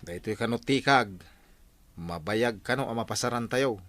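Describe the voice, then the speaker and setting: A man's voice: mid-range voice, moderate pace, fairly bright tone, dry recording, close to an everyday voice. A man with an Ilocano accent acting an exasperated, anxious farmer husband in a comic drama, with an exclaiming delivery. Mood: weary, grumbling. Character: exasperated, anxious farmer husband scolding his indebted wife.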